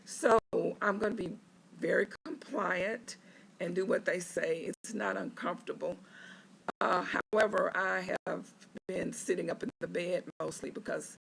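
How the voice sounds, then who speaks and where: A hoarse patient speaks from a hospital bed, the voice raspy and heavy with gravelly fry after neck surgery. The talk comes very slowly, halting and full of filler pauses, as a casual video diary update. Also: mid-range voice, steady tone, fairly bright tone, a little hiss or hum, poor audio.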